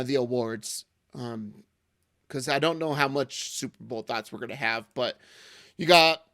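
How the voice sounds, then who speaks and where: Hoarse American man chatting sports. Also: mid-range voice, fairly bright tone, dry recording, studio quality. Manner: rambling, filler-heavy, joking. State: hoarse from losing his voice. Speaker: podcast co-host. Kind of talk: casual sports chat.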